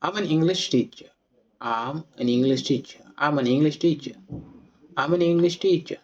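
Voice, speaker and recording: A man teaches English, reading each simple dialogue line twice. His pace is very slow and deliberate. The recording sounds dull and lo-fi. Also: mid-range voice, darkish tone. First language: Wolof. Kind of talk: slow read-along English lesson.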